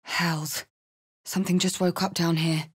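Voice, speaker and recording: A woman's voice: fairly low pitch, little pitch movement, measured pace, breathy edge. A woman playing a bold, blunt tiefling warrior in a video game, hot-headed but caring, with a punchy, theatrical delivery. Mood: wry, alert. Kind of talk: video game character lines and spell chants.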